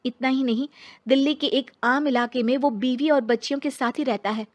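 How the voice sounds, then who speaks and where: Woman playing high-voiced, wronged divorcee. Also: fairly even intonation, brisk, close to an everyday voice. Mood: aggrieved.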